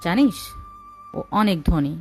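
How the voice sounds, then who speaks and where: A woman's voice: lowish voice, lively intonation, takes it slowly. Woman acting a mean stuck-up young girlfriend, scornful, love story audio drama w/ music behind. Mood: cold contempt. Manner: scornful, dismissive. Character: cruel, haughty young rich girlfriend dumping her poor lover.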